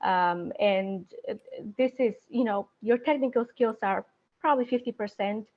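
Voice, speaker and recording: A woman working in procurement, speaking as an interview guest in a thoughtful, halting way with many fillers. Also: fairly high pitch, phone-quality sound. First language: not Spanish; speaks several languages. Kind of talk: career interview. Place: San Diego area.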